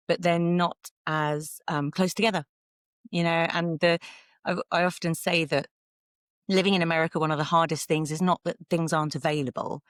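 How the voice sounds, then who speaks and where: A woman's voice: sits low, no room sound, clean studio sound. A woman with a southern English accent, talking casually and chattily as a podcast guest, with some self-deprecation. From south of England, London suburbs. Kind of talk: casual conversational interview.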